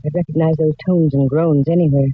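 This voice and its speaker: An actress playing a wry, inquisitive private detective who narrates a radio mystery with breezy self-possession. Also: female voice, deep voice, steady tone, a little fast, breathy edge. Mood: wry narration. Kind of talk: 1940s radio acting and narration. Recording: muffled, noisy 1940s radio recording.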